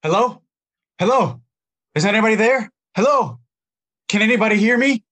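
An actor playing a young, homeless chorus actor from Arizona, earnest and pleading, in an audio drama. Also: male voice, high voice, expressive, normal speed, background noise. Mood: calling out. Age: young adult. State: shivering from cold.

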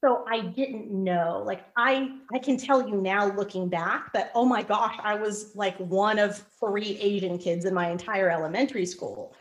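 A woman guest in an interview, telling lively personal stories with frequent restarts. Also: medium pitch, normal speed, hardly any pauses, poor audio. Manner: animated storyteller, frequent restarts. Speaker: podcast guest. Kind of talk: conversational interview.

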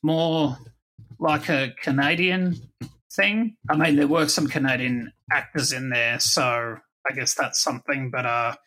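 A man hosting a podcast in casual review chat, talking in a halting, hesitant way. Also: medium pitch, fairly even intonation, bright, forceful tone, rough recording. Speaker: podcast host.

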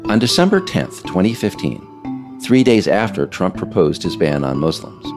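An American man reading scripted narration in a measured, emphatic storytelling way. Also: sits low, very animated, over music. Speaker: author reading own work, narrator.

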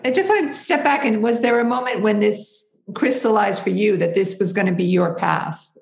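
A woman hosting a podcast, who asks clear questions and reads a measured outro. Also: mid-range voice. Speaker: podcast host. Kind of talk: interview and scripted outro.

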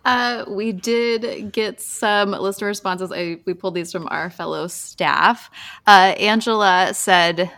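A woman's voice: mid-range voice, unhurried, creaky, fairly bright tone. Female podcaster, middle register, casual and giggly conversational delivery. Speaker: podcast co-host. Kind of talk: casual group podcast chat.

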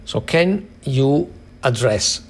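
A man hosting an interview, asking questions in a conversational way with a halting, think-aloud delivery. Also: mid-range voice, animated, a little hiss or hum. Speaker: interviewer and host.

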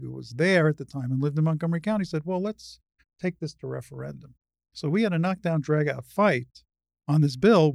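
Older woman as interview guest, low creaky voice, explains stuff confidently, dry close sound. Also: warm tone, no echo. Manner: assured, explanatory. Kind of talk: conversational explanation.

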